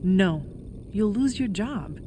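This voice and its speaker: A woman's voice: mid-range voice, animated, takes it slowly, warm tone, slight background noise. A young woman playing a determined, caring corporate insider in a video game, earnest and reassuring. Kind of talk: video game cutscene acting.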